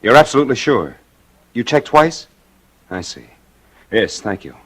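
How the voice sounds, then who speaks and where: An actor playing a stern, embittered surgeon and husband with clipped, controlled delivery in 1940s radio drama. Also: male voice, medium pitch, very animated, moderate pace, fairly bright tone, slight background noise. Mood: tense, clipped. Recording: vintage radio broadcast.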